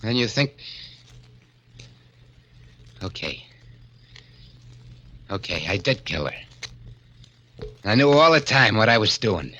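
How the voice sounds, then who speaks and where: A desperate young killer. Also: male voice, lowish voice, very animated, a little slow, lo-fi sound, heavily acted. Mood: confessing. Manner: jumpy, rising to frantic shouts. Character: panicked male killer, young adult, desperate and menacing. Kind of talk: 1940s radio acting. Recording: old radio broadcast with faint hiss.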